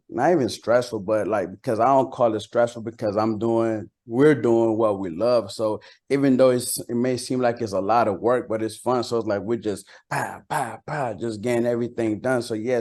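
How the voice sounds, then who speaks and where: A man speaking as a rapper being interviewed, chatting casually in a slangy, halting way. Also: medium pitch, fairly even intonation, a little mellow. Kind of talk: casual chat.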